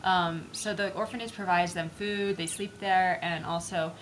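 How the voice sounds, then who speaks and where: Lowish-voiced woman, noisy background. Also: fairly even intonation, moderate pace. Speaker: student volunteer. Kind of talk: interview testimonial. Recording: clearly audible background noise.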